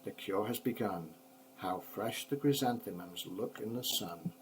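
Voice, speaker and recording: A fussy, pompous storybook doctor, voiced by an older man pronounces his remedies with self-satisfaction. The voice is on the low side, with slight noise on the home recording. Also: a little slow, close to an everyday voice, rough recording. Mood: self-satisfied.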